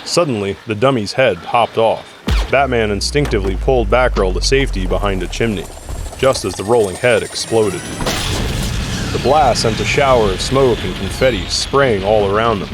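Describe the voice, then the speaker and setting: A man's voice: lowish voice, moderate pace, noisy background. A man narrating an audio drama from a script, telling the story in the third person.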